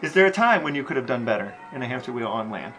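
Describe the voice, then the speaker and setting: A man co-hosting a casual podcast, telling stories with enthusiasm and asking deadpan questions. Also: medium pitch, fast, soft background music. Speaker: podcast co-host.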